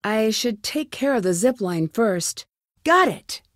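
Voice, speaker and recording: A woman's voice: on the high side, expressive, slow pace, no room sound. A plucky curious girl detective in a pc game, talking herself thru clues, earnest, dry studio sound. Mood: musing, wry. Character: inquisitive, plucky young female amateur sleuth. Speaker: lead character in a detective adventure game. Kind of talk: scripted game dialogue, thinking aloud.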